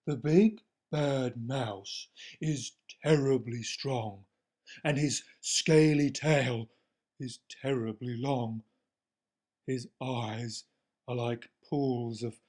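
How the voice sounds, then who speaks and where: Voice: mid-range voice, darkish tone. A storyteller voicing a big father monster, ominous, in a children's storybook. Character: big gruff father monster describing a terrible mouse.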